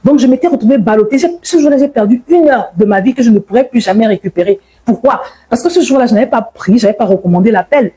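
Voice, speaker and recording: Mellow, slightly husky woman sharing faith testimony. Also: on the high side, animated, fast talker, hardly any pauses. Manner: emphatic, repeats words and phrases for stress. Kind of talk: informal religious testimony and exhortation.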